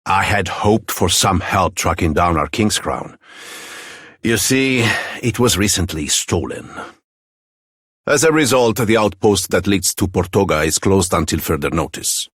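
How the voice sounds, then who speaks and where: An earnest italian soldier captain for a video game, formal, rough husky voice, super lively pitch. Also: male voice, unhurried, no room sound, clean studio sound, full character voice. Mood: courteous, earnest. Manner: theatrical, formal, gallant. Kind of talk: scripted fantasy video game dialogue.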